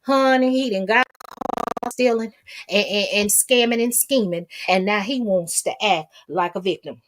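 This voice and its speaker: A woman's voice: medium pitch, normal speed. An American woman hosting casual gossip commentary, talking in a sassy, colloquial way and repeating herself for emphasis. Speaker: commentary vlog host.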